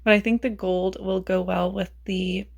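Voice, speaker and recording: Woman vlogger going hoarse, gravelly voice, chatty and thinking out loud. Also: mid-range voice, little pitch movement, moderate pace. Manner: chatty, self-mocking, thinking aloud. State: losing her voice, raspy. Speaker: beauty vlogger. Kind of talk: casual makeup chat.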